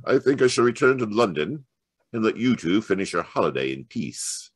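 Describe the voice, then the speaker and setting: An actor playing a cool, precise gentleman detective in an old-time radio mystery. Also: lowish voice, big pitch swings, moderate pace, breathy edge, dry recording. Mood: genial, brisk.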